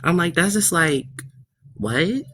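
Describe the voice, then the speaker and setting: A man's voice: on the high side, expressive, slow pace, a bit bright, a little hiss or hum. An eighteen-year-old man calling in as a livestream guest, talking casually and colloquially with some emphasis. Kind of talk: casual chat.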